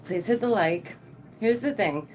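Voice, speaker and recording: A woman with a lower voice hosting her channel, chatting casually to the camera, bubbly and laughing often. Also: lively intonation, a little slow, a little mellow, a little hiss or hum, low-quality recording. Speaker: channel host.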